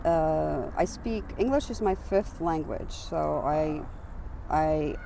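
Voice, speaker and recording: A woman's voice: deep voice, slow pace, vocal fry, dark tone, poor audio. A woman in her fifties from Romania whose first language is Hungarian, talking earnestly and with animation in a street interview, sometimes repeating words for emphasis. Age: 50s. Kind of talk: street interview, casual talk. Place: outdoors on an urban trail. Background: faint traffic.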